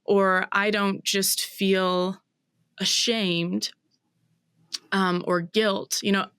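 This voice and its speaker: Woman, 20s, interview guest; smooth, close dry sound, reflective and searching delivery. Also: mid-range voice, a bit bright, no room sound. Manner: thoughtful, searching, with many fillers and restarts.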